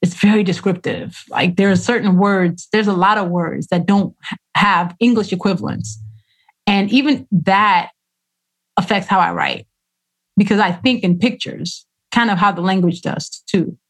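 A woman author talks through a casual interview at a mid pitch. She is expressive, acting out what other people said in their own voices and dropping in slang. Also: moderate pace. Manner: expressive storyteller who acts out quoted voices, uses slang. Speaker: author guest.

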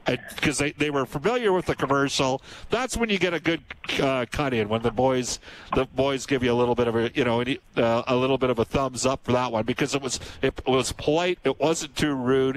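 A man's voice: medium pitch, a little hiss or hum, poor audio. A man from Canada hosting a casual chat, telling rambling anecdotes full of fillers. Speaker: host.

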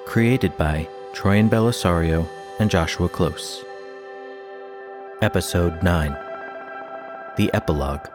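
Very deep, slow man announcer. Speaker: title announcer. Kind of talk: slow dramatic credits narration. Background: instrumental music.

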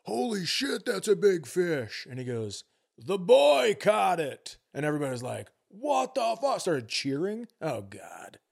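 A man's voice: high voice, wildly swooping pitch, a little slow, creaky, no echo, clean studio sound. Man chatting casually on a comedy podcast. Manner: conversational, interjecting, casual swearing.

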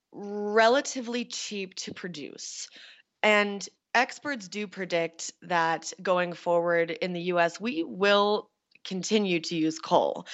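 Lowish woman reporter, slightly dull sound. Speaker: energy reporter. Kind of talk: explanatory news interview.

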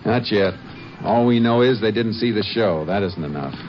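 An actor playing a calm, methodical Texas Ranger in a 1950s radio drama, questioning in a steady, clipped, authoritative way. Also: male voice, on the low side, moderate pace, over music, lightly acted. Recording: muffled old radio broadcast with faint hiss.

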